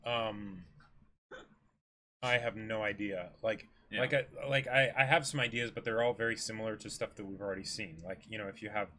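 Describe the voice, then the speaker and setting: A man's voice: lowish voice, fairly even intonation, brisk, sharp tone. A man in his twenties chatting casually as co-host of an anime discussion stream. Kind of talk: casual chat.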